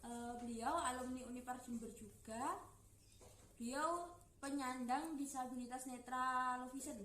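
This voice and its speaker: A young woman gives an informal explanatory student presentation, haltingly searching for words. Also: on the high side, a bit bright. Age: young adult. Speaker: university student giving a presentation. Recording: roomy echo, clear background noise.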